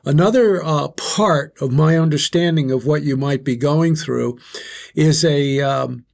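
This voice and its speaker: An older man leads a group talk in a loud, instructive way, counting off his points as he goes. His voice sits a little high for a man. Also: measured pace, gravelly. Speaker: host. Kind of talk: discussion facilitator.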